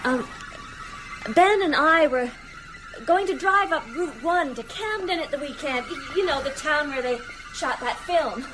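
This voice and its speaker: A woman's voice: mid-range voice, very animated, normal speed, music in the background, natural voice, rough recording. An actress playing a sensible young sceptic in a radio drama, caring but uneasy as she reasons expressively. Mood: nervous chatter.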